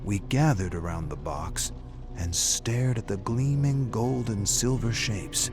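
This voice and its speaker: A man's voice: on the low side, takes it slowly, music in the background. A man voicing a folksy animal parent who tells rambling first-person yarns in a scripted game story. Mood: puzzled, animated.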